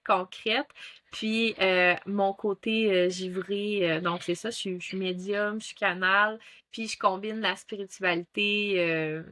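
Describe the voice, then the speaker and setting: A woman's voice: mid-range voice, bright, forceful tone. A woman in her thirties with a Québécois accent, speaking as an interview guest, with many fillers and restarts. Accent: Québécois French. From Quebec. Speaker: guest, ThetaHealing instructor. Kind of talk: casual interview talk.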